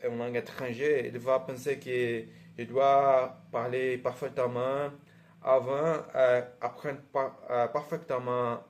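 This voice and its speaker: A man whose first language is Portuguese, speaking with an accent and giving encouraging advice to language learners, with frequent hesitations and fillers. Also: medium pitch. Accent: Portuguese-speaking, Brazilian. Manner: hesitant, many "euh" fillers and restarts; encouraging, talks straight to the viewer. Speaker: language teacher. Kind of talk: casual vlog advice to learners.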